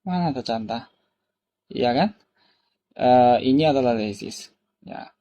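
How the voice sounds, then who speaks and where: A man's voice: mid-range voice, animated, a little slow, mellow. A man from South Korea with a Korean accent, a native Korean speaker, telling personal stories casually as a vlogger, in simple repeated phrases with rhetorical questions to the listener. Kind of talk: casual personal storytelling to camera.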